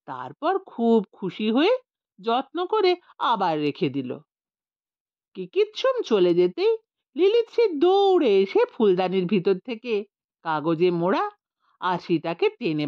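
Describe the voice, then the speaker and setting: A woman narrating a scripted story as an audiobook reader, in an expressive storytelling style. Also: mid-range voice, no echo, highs cut off.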